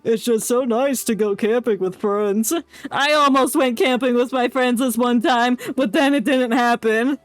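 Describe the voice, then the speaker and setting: A woman's voice: a little high, a little slow, few pauses, dry recording. A woman livestreaming a game with casual, jokey commentary, giggly and mock-dramatic. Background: game music.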